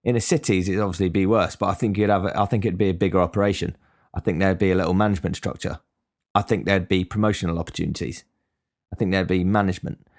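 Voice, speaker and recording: A man's voice: low voice, steady tone, fast talker, darkish tone. A young man giving a blunt, sweary casual monologue as a podcast host. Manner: blunt, swears freely, ranting.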